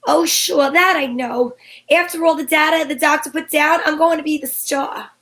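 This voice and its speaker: A breezy, flirtatious lady detective acted by a woman with a high voice. She wisecracks archly in the style of a 1940s radio mystery, moving between narration and banter. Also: fairly even intonation, moderate pace, fairly bright tone. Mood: flustered, chatty. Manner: arch, wisecracking, endearments. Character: sassy female private eye, flirtatious and breezy.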